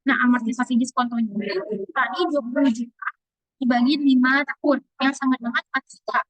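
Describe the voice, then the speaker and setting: A young woman speaks in a high voice at a fast clip, going through exercise answers as a student presenter. The sound is dry, with very little room in it. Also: little pitch movement, fairly bright tone, no room sound, low-quality recording. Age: young adult.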